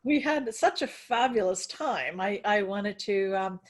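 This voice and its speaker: A woman hosting a podcast interview, gently probing with many hesitations. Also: mid-range voice, animated, unhurried. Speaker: podcast host. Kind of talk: interview conversation.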